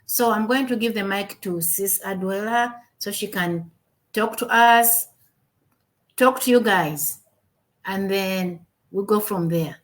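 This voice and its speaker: A woman's voice: medium pitch, slow pace. Ugandan-born woman hosting a livestream, chatty, lots of uh and repeats, home mic. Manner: upbeat, repeats words, frequent fillers. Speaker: webinar host. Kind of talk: casual livestream chat. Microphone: home computer mic on a video call.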